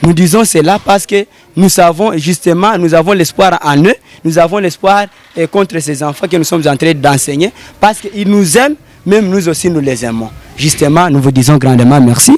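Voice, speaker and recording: A man from Congo who teaches French, speaking slowly and hesitantly in an interview, often repeating words. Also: a little high, a little mellow. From Congo (DRC), Bukavu. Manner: halting, repeats words while searching for them. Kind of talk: on-camera interview.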